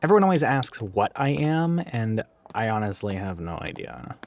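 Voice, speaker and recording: A man's voice: lowish voice, very animated, moderate pace. A man playing a chatty, sarcastic, gender-ambiguous retail coworker who goes by she, in a casual, rambling audio roleplay addressed to the listener. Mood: candid, wry. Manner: rambling, sarcastic, flippant, with sighs and chuckles.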